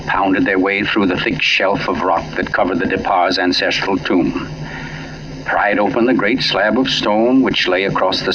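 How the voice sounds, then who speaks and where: Deep, slow male radio mystery narrator. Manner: grave, measured, theatrical. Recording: muffled old radio, sounds behind.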